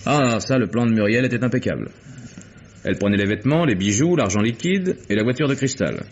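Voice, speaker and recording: An actor playing a world-weary, sardonic male private detective in a 1950s French radio mystery, speaking deliberately with dramatic pauses. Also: mid-range voice, lively intonation, slight background noise, lightly acted. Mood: sardonic, explanatory. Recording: muffled vintage radio drama recording.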